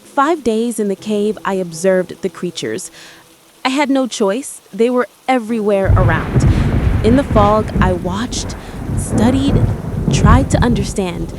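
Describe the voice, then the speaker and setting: A woman playing an exhausted survivor, confessing a dark secret in stark, short phrases in a radio drama. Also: medium pitch, lively intonation, measured pace, close to an everyday voice. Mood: haunted confession. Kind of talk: dramatic monologue, confessional storytelling. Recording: rain and thunder noise behind voice.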